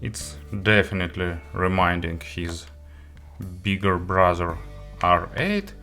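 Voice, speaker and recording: A man presenting a product review as host, talking through it in a halting, hesitant way with frequent pauses. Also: sits low, expressive, sharp tone, soft background music.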